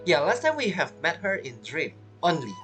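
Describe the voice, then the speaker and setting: Low-pitched, very animated woman gamer. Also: moderate pace. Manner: teasing, playful. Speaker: gamer playing and commenting. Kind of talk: casual playthrough commentary, reacting to dialogue. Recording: faint game music behind.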